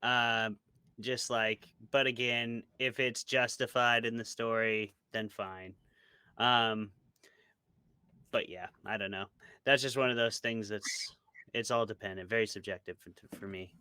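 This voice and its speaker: American man, low bright voice, crisp, dry close sound, casual interview chat, opinionated. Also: fairly even intonation, unhurried, no room sound. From small rural town in United States. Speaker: guest, author and podcast co-host.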